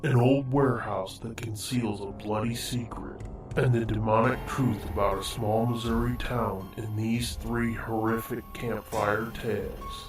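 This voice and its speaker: A man reading scripted trailer narration in an ominous, measured way. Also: fairly low pitch, steady tone, distant mic. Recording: roomy narration set back from the mic over music.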